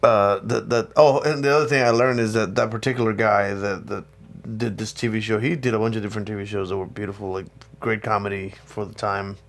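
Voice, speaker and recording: A man's voice: lowish voice, a little fast. Rambling man with a Spanish accent. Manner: rambling, animated storytelling, swears casually. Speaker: podcast host. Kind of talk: casual chat.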